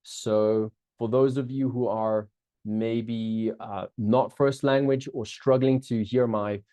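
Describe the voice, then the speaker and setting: A middle-aged man with a South African accent talks through a live online masterclass, upbeat and chatty, looping back on his phrases and turning to the audience often. His voice is warm and mellow, sitting at an average pitch. The sound is dry and close, with no room echo. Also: steady tone, takes it slowly. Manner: upbeat, chatty, many restarts, keeps checking in with the audience. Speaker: business coach hosting a live webinar.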